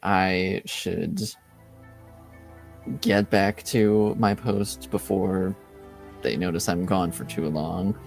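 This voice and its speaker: A man playing an earnest, cautious, slightly awkward male guard in improvised tabletop roleplay, speaking haltingly and carefully. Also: deep voice, vocal fry, faint music behind, exaggerated voice. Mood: concerned, awkward.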